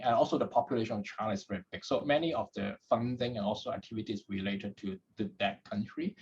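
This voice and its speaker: Hong Kong-raised male guest, husky and low, halting with repeated words, muffled lo-fi sound. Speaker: guest, head of data at a startup. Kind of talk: podcast interview answers.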